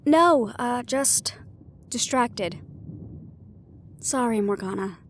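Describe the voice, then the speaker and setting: A high-voiced, earnest young woman played by a voice actress in a fantasy audio drama. She is a weary investigator of the arcane, speaking hesitantly and conversationally over faint ambience. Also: lively intonation, slow, a little hiss or hum. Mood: guarded, tired. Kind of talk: fantasy audio roleplay acting.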